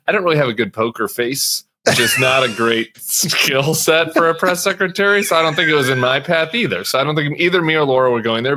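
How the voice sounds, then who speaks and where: A man's voice: mid-range voice, sharp tone. An American man giving casual political commentary in a wry, rambling way.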